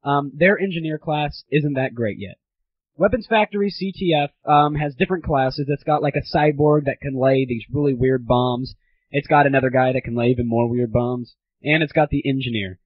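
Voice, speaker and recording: A man's voice: medium pitch, normal speed, husky, no room sound. A young man hosting a gaming audio show with casual, opinionated reviews in an irreverent, slangy way on a lo-fi recording. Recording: slightly dull lo-fi home recording.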